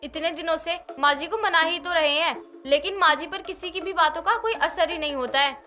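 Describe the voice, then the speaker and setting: A woman voicing a scheming, persuasive young daughter-in-law, coaxing and insistent, in an animated moral story. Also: very high-pitched, a little fast. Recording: music behind the voice.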